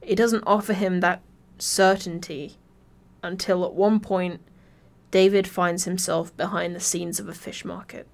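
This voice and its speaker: A woman's voice: lowish voice, flat delivery, unhurried, creaky. Wry English woman narrating an essay. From Birmingham, England. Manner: wry, informal, sweary. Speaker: video essayist.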